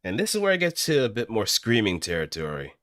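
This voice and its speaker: A man with a low voice talks casually on a live stream as he practises voice acting. His pitch moves around in a lively way, and the sound is close and dry. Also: fast, dry recording.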